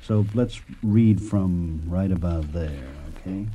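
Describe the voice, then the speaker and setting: A man's voice: low voice, takes it slowly, dark tone, lo-fi sound. An American man hosting a radio program, interviewing and presenting in a conversational way. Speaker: radio show host, interviewer.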